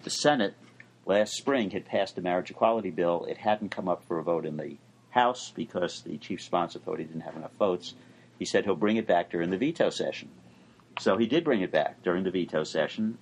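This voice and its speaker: Deep husky-voiced older law professor. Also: male voice, rough recording. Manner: dry, measured. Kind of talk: explaining legal news.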